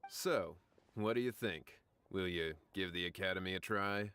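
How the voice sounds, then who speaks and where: An actor playing a courteous event organizer in a video game, speaking in a polite, inviting way. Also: male voice, low-pitched, normal speed, sharp tone, clean tone. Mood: polite, persuasive. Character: courteous male event organizer welcoming newcomers.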